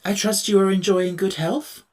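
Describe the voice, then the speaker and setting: A man's voice: high-pitched, fairly even intonation, moderate pace, slight background noise, voice pushed up, lightly acted. A man voicing a kind-hearted, courtly male tin man who speaks with polite firmness. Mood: courteous. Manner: courteous, gently firm.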